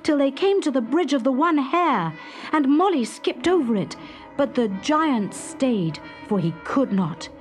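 A woman narrating a children's story in a dramatic, expressive, suspenseful storybook style. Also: high voice, a little slow, faint music behind.

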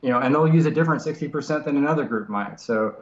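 A man in his thirties with a nasal voice from a cold, explaining software casually over a video call from his home study, with many fillers and restarts. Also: mid-range voice, quick pace. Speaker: software developer guest. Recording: roomy lo-fi video call audio.

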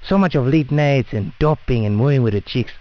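A man's voice: mid-range voice, brisk. A man with a South African Indian English accent making a prank phone call in cheeky, rambling, slangy chat. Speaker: prank caller. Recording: dull lo-fi phone call audio.

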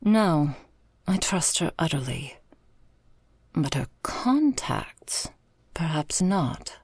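Gothic audio roleplay: actress as imperious female vampire, low breathy voice, extremely slow, archaic and weary. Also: very animated, darkish tone, no room sound, studio quality, full character voice. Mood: measured. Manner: formal, archaic, halting.

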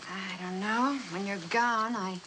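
An actress playing a gentle, lonely young aunt in a vintage television drama, speaking tenderly and hesitantly. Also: female voice, mid-range voice, steady tone, takes it slowly, noisy background, natural voice. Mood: wistful. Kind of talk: 1960s television drama acting.

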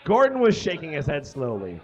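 A man's voice: on the high side, wildly swooping pitch, a little fast, lo-fi sound. A young man reading a story aloud on a live stage in a theatrical, exaggerated comic style. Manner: dramatic, exaggerated delivery. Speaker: live comedy reader. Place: small indoor stage with curtains and brick.

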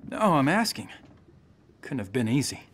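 A man's voice: medium pitch, sing-song, normal speed, slight background noise, lightly acted. A young man playing a cocky warrior in a video game, talking in a dry, sardonic, probing way. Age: young adult. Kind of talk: video game cutscene acting.

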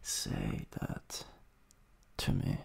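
A man with an Italian accent voicing a cruel, mocking vampire master in an improvised ASMR roleplay, breathy and menacing, close to the microphone. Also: background noise. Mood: scornful. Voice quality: breathy, husky, gravelly. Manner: menacing, drawn-out, condescending. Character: cruel, mocking male vampire master taunting his servant. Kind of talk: improvised ASMR roleplay, slow and partly whispered. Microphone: close USB microphone.

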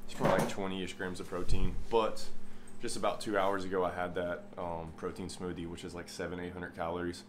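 Low-voiced young man chatting casually. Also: fairly quick, hardly any pauses, a little hiss or hum. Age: young adult. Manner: relaxed, chatty, lots of "like" and "you know". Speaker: fitness vlogger. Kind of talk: casual vlog talk to camera. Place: inside a car, then a home kitchen. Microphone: phone camera held or mounted nearby.

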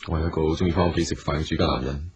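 An actor playing a patient, persistent businessman in a radio drama, speaking calmly and earnestly. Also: a bass voice, very fast, breathy edge, no echo, highs cut off, exaggerated voice. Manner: calm, earnest and probing.

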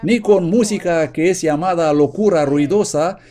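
A man's voice: a little high, moderate pace, warm tone. A man giving an earnest, emphatic sermon, reading quotations aloud from his notes. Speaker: preacher. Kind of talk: sermon read from notes, Spanish voice-over.

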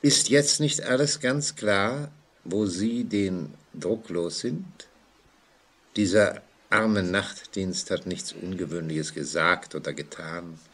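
Slow talking prison inspector in a 1940s radio drama, lowish voice, formal, calm n firm. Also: male voice, exaggerated voice. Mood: firm, reassuring. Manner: measured, formal, deliberate. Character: composed, authoritative male prison inspector, patiently firm. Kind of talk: 1940s German radio play acting.

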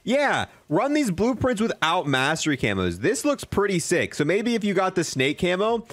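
Animated, higher-pitched young man reviewing games. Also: normal speed, dry recording. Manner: excitable, slangy bro-and-dude talk. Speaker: gaming video creator. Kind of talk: casual game review commentary.

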